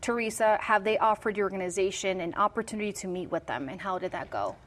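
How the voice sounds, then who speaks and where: A woman hosting a TV news interview, briskly introducing guests and asking questions. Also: mid-range voice, a bit bright. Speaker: TV news host.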